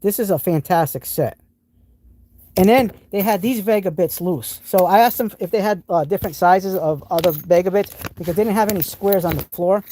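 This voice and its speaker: A man's voice: high voice, moderate pace, dark tone, slight background noise. A man with a New England accent hosting a live tool stream, showing off tools and answering chat in an enthusiastic, rambling way with many restarts. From New England, United States.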